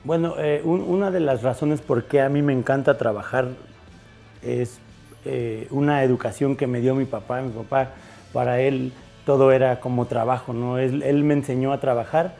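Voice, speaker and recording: A man in his forties from Mexico, a chef answering interview questions in a bar, halting with many fillers and restarts, recorded on a lapel mic. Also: mid-range voice, fairly even intonation, vocal fry, dark tone, soft background music. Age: 40s. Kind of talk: interview conversation. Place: bar with bottle shelves.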